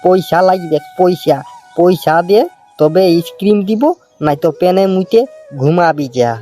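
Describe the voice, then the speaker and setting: An actor with a rural Purulia Bengali accent voicing a brash, stubborn village ice-cream hawker in a comedy cartoon, teasing and repeating singsong street calls. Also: male voice, high-pitched, moderate pace, dark tone, natural voice. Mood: teasing, indignant. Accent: rural Purulia, West Bengal. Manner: repetitive singsong street-vendor calls, scolding banter. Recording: music behind the voice.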